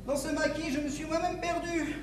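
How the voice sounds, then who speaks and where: A man's voice: extremely high pitch, level tone, normal speed. A man voicing an odd-looking, lost shepherdess outcast in improvised stage comedy, plaintive and earnest. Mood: forlorn. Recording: distant mic in echoey noisy hall.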